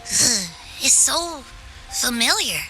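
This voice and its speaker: A proud little creature for a video game, high and extremely bright. It is bossy and cheeky, boasting dramatically and drawing its words out slowly. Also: female voice, sing-song, faint music behind, heavily acted, low-quality recording. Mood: suspicious, urgent.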